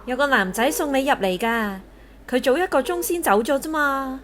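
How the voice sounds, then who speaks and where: A woman playing a kindly, reassuring young nurse in an audio drama, gently chatty. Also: sits high, normal speed, slight background noise. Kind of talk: Cantonese audio drama acting.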